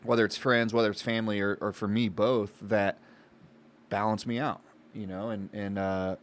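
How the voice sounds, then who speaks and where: A man with a slightly low voice talks as a podcast co-host. His delivery is conversational and informal. He sounds reflective as he thinks out loud. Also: flat delivery, moderate pace. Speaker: podcast co-host and business owner. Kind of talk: casual conversational podcast talk.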